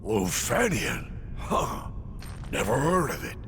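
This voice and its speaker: An actor playing a boastful, melodramatic warrior who wanders between dimensions, speaking in a grandiose, florid, theatrical way with a gravelly voice in a video game. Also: male voice, wildly swooping pitch, slow pace, slight background noise, full character voice. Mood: wounded pride. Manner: grandiose, florid, swinging from hushed to booming.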